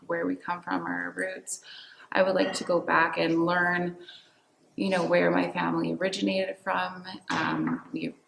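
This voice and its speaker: Woman from Winnipeg, gravelly, reflective interviewee, roomy recording. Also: lowish voice. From Winnipeg, Canada. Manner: reflective, with frequent fillers. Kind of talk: documentary interview. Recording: echoey room with faint noise.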